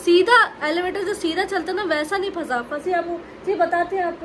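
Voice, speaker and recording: A young woman vlogging to her phone at home, telling a story in a casual, animated way. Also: very high voice, a little fast, a little hiss or hum. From India. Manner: animated storytelling to the camera, with lively gestures. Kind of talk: casual chatty vlog narration. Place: bedroom at home, indoors. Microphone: phone held close.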